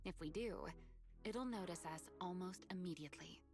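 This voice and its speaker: A woman playing a confident, teasing, sly strategist in a sci-fi video game, with playful, measured theatrical delivery. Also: lowish voice, natural voice. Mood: serious, planning. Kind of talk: scripted video game voice acting. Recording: game music behind the voice. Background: background music.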